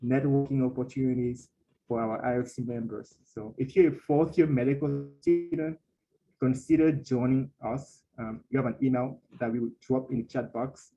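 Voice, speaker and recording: Young male webinar host, monotone, mellow, slightly muffled call audio. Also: mid-range voice, a little hiss or hum. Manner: steady, even delivery with frequent fillers. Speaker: webinar host and council president. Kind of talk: panel webinar moderation.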